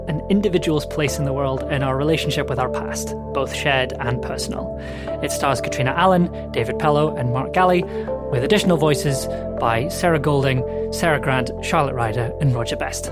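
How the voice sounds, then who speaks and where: A man presenting the show and reading a measured, reflective introduction. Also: fairly high pitch. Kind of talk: scripted narration.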